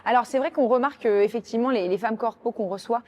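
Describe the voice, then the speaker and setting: A woman's voice: on the high side, fast, darkish tone. A young woman hosting a podcast, asking questions casually and informally with short approving interjections.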